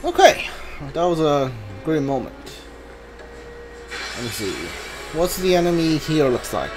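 A man gives casual commentary while gaming. He goes slowly in short, muttered phrases, yet his pitch moves around a lot. Game music plays clearly behind him. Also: big pitch swings. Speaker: video game streamer.

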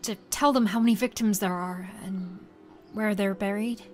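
A woman playing an earnest, careful friend who gently persuades and pleads in an audio drama. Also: medium pitch, steady tone, moderate pace, soft background music, close to an everyday voice. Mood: grave, careful.

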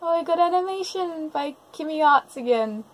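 A woman's voice: sits high, expressive, moderate pace, poor audio. A woman giving casual live reaction commentary, gushing over cute moments. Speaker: commentator reacting to an animated series. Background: episode audio and music.

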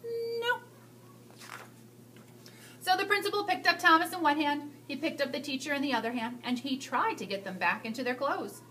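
A woman in her thirties reading a picture book aloud to children, with expressive, drawn-out emphasis. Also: high voice, slight background noise. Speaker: school staff storyteller reading to children. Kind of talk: animated children's read-aloud.

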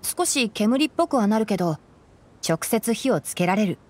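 A woman playing a calm, knowledgeable young male forest ranger in an anime game, explaining things in a composed, gently teasing way. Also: medium pitch, moderate pace, slight background noise, close to an everyday voice. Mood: calm, instructive. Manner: explanatory, composed, lightly dry. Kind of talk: Japanese anime game voice acting.

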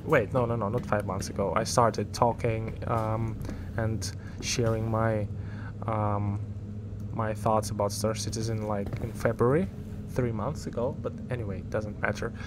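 Slow-talking man with a Ukrainian first language. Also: fairly low pitch, fairly even intonation, background noise. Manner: rambling, self-correcting, chuckles at himself. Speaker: gaming YouTuber. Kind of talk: casual gameplay commentary.

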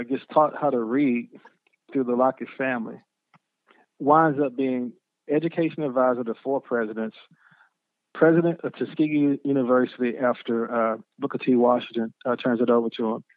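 A man's voice: mid-range voice, no echo. A man telling his family's story in a preacherly way, building with rising emphasis. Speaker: storyteller guest. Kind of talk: personal testimony interview. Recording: tinny phone-like recording.

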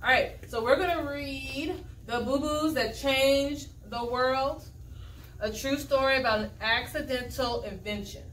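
A woman's voice: high voice, measured pace, fairly bright tone. A young woman teaching in a dry, instructive manner, leading a classroom read-aloud with questions and frequent check-ins. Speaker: primary school teacher. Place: school classroom, indoors. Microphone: camera a few metres away. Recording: echoey classroom, distant mic, background noise.

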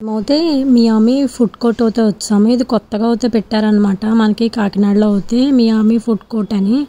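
Young woman vlogger, casual narration packed with fillers; fairly high, even-pitched delivery. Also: fast, slight background noise. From Andhra Pradesh, India. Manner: chatty, filler-heavy storytelling. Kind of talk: casual voice-over vlog narration.

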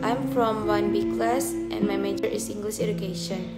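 A woman's voice: mid-range voice, steady tone, normal speed. A young woman from Indonesia with an Indonesian accent gives a rehearsed, explanatory student presentation in English as a second language. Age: young adult. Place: small furnished living room at home. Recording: roomy echo, loud guitar music behind. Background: loud plucked guitar music.

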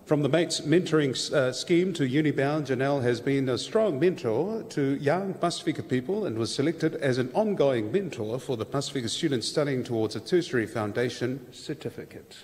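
A man's voice: mid-range voice, normal speed, few pauses, darkish tone. A man hosting an awards ceremony, reading out winners and making playful jokey asides. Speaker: master of ceremonies. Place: large hall at an awards ceremony. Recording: reverberant hall with crowd and background noise.

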